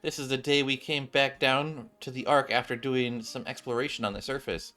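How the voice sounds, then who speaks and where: A man's voice: medium pitch, steady tone, normal speed, sharp tone. A man streaming a game and reading its story aloud, now and then stumbling and correcting himself. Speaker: gaming streamer.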